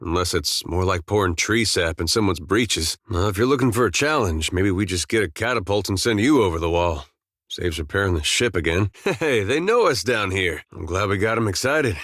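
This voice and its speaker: A man voicing an easygoing farmer turned adventurer in a video game, with a folksy, drawling, deadpan humour. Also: low-pitched, moderate pace, dry recording, studio quality. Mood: wry, easygoing. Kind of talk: video game companion dialogue and barks.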